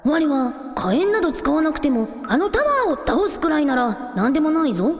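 Woman doing a boastful young dragon prince, high lively voice, archaic lordly talk, echoey radio drama. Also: moderate pace. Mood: earnest. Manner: old-fashioned lordly phrasing, boastful. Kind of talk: Japanese radio drama acting. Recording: echoey, long reverb, music underneath.

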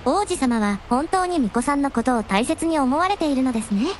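A woman playing a young dragon princess in a radio drama, devoted and gentle, with refined, deferential, ladylike speech. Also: high voice, animated, fairly quick, slight background noise. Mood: touched. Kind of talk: Japanese radio drama acting.

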